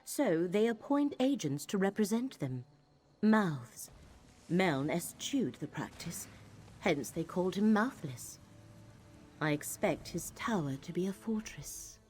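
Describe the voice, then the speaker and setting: A woman acting a solemn, mystical companion in a fantasy video game, speaking in a formal, measured, cryptic way. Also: fairly low pitch, sing-song, darkish tone. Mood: grave, explaining. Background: game music.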